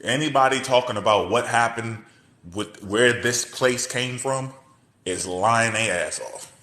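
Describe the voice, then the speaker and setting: A man's voice: mid-range voice, bright, forceful tone. A man in a casual debate chat, talking bluntly with plenty of swearing and often cutting in over the other speaker. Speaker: show host.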